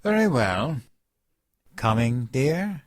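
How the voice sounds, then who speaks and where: A man's voice: medium pitch, very animated, takes it slowly, dry recording. Guy doing a pompous genial politician husband, doting, bouncy intonation, dry sound, radio comedy. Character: genial, doting, oblivious male president-elect. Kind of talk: comic audio drama acting.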